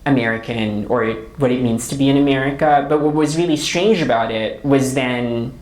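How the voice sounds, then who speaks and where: A woman's voice: a bass voice, moderate pace, a little mellow, slight background noise, low-quality recording. A woman from the Philippines, an author speaking as a guest, answering questions in a thoughtful, searching way and often checking in with a questioning tag. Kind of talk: reflective talk answering audience questions.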